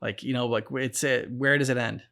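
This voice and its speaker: A man's voice: medium pitch, steady tone, brisk, vocal fry, fairly bright tone. Casual man host, rambling thoughtfully. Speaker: reaction channel host. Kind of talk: casual reaction and discussion.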